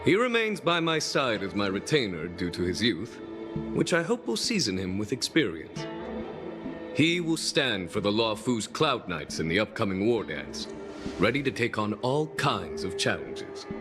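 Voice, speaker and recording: An actor playing a composed, gracious general in a video game, with a polished, formal and genial delivery. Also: male voice, fairly low pitch, very animated, moderate pace. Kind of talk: English game voice acting. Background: game music.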